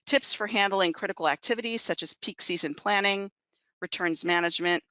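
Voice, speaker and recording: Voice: mid-range voice, a little fast, pushed and bright, dry recording. A presenter reading a formal, scripted introduction before handing over to the moderator. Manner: formal, read-out delivery. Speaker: program presenter. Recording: dull, dry lo-fi audio.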